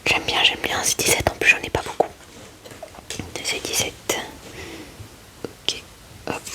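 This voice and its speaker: A woman whispering ASMR commentary in a breathy, hushed murmur, close to the microphone. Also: measured pace. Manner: hushed, murmuring short asides to viewers. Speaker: ASMR creator.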